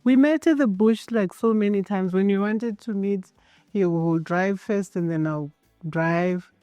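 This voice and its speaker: A woman from Zimbabwe with a Zimbabwean accent, telling her story calmly in a documentary interview. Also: mid-range voice, measured pace, soft background music.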